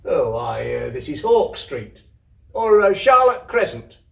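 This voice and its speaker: Man reading as kindly storybook cart driver, patient, terse, lively intonation, roomy distant sound. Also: on the high side, unhurried, gravelly, full character voice. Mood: hesitant, obliging. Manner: plain, terse, kindly answers. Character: patient, gently amused male cart driver. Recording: roomy echo, distant microphone.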